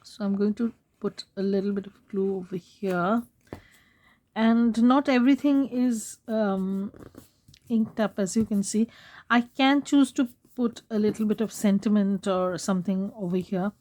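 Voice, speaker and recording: A woman hosting a craft tutorial, talking casually while she works, her voice hoarse and fading, and she keeps clearing her throat. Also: mid-range voice. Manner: soft, unhurried, frequent throat clearing. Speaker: craft channel host.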